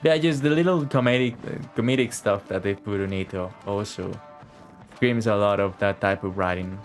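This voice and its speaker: A man's voice: on the low side, moderate pace. A man giving casual gaming commentary as he streams a video game. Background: video game audio and music.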